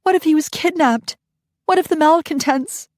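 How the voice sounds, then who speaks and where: A frantic young mother, voiced by a woman narrator, her voice pitched up high and pleading. The sound is close and dry. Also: normal speed, a little mellow, no room sound, close to an everyday voice. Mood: panicked. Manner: breathless, pleading. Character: panicked young mother, loving.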